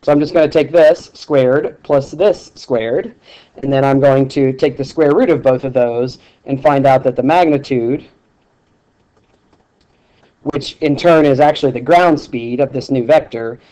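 A man teaching math over a screencast, explaining steps in a relaxed way with many ums and restarts. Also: mid-range voice, measured pace, lo-fi sound. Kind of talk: screencast lesson with handwriting.

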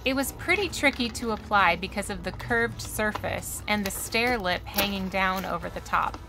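A woman's voice: mid-range voice, moderate pace, music in the background. A woman giving a matter-of-fact, step-by-step scripted voice-over about renovating her home, recorded close to the mic. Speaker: RV renovation vlogger.

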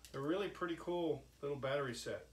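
An older man giving a halting, folksy, informal product demonstration, like an inventor showing off his own gadget. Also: fairly high pitch. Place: indoor cluttered home workshop. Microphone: camera mic a few steps away. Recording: echoey workshop with background noise.

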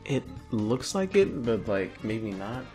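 Low-voiced young American guy, joking around. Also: very animated, takes it slowly, darkish tone, soft background music. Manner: joking, laid-back. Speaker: co-host reading out monster encyclopedia entries. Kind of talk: casual comic commentary and read-aloud.

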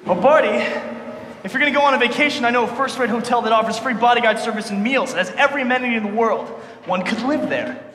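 A man acts out a teenage boy wizard hero in a comic staged reading. His very high voice is smug, quippy and earnest. It comes from a noisy, echoing hall. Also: fast, a bit bright. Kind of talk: live staged reading of comedy musical.

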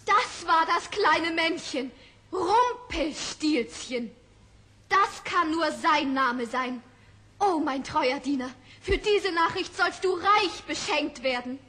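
Young fairy tale queen, super high bright voice, imploring and dramatic. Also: animated, slow, heavily acted. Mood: relieved. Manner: tearful, theatrical, imploring. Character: anxious, pleading young queen, a miller's daughter. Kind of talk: 1960s fairy-tale radio play. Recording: old record, faint noise.

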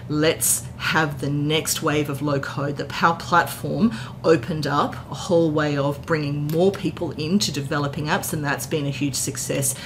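A woman in her forties giving an enthusiastic, informal explainer recap to camera as a tech presenter, indoors. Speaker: tech content creator, presenter. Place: indoor hotel-style room. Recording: clear background noise with low rumble.

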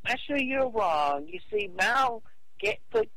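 A man on a phone call arguing his case in a halting, repetitive, insistent way. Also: high-pitched, wildly swooping pitch, pushed and bright, no room sound. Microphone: telephone call.

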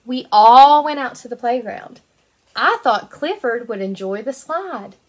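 High-voiced young woman reading to children. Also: a little slow. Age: 20s. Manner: animated, sing-song, addressing small children. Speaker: kindergarten teacher reading aloud. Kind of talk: picture-book read-aloud for young children.

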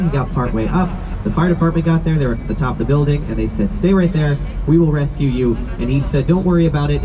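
A man giving a guided boat tour outdoors, telling facts with wry, deadpan jokes and punchy timing. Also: fairly high pitch. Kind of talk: scripted joke-filled guided tour commentary. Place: outdoors on an open river boat among tall buildings. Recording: dull lo-fi sound with loud city and boat noise.

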